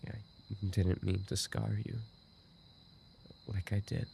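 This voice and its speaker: A man's voice: sits low, flat delivery, slow pace, vocal fry, warm tone, a little hiss or hum, full character voice. A man playing a dying, obsessive and remorseful yandere roommate in an audio roleplay, speaking in weak, broken, repeated phrases. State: weakened, dying from an injury. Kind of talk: boyfriend ASMR audio roleplay acting.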